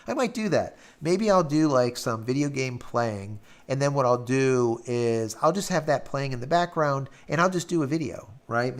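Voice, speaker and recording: Male live-stream host, raspy and gravelly, loose rambling delivery with fillers. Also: medium pitch. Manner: rambling, filler-heavy, hums while scrolling. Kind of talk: casual live-stream chat reading viewer comments.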